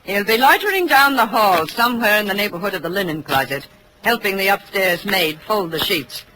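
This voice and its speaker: A woman's voice: mid-range voice, lively intonation, fairly quick, noisy background, natural voice. An actress playing a prim, wealthy middle-aged stepmother, arch and dryly insinuating, in 1940s radio style. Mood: arch, disapproving.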